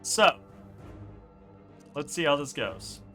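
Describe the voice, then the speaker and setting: Lively high-voiced male game master. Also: slow pace, faint music behind. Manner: animated, casual, swears freely, trails off with filler words. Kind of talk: narrating a tabletop game online.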